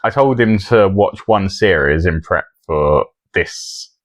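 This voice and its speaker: British male podcast co-host, very low voice, dry close sound, casual banter. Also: lively intonation, slow pace, no echo.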